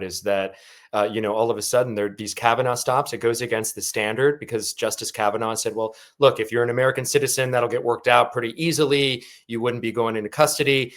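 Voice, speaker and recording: Male host, news talk show, conversational, medium pitch. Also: fairly bright tone.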